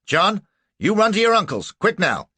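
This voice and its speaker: A man voicing an excited, folksy small-town man who urgently gives orders in a rustic drawl. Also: high voice, normal speed, husky, dry recording, voice pushed up, exaggerated voice. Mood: urgent, bustling.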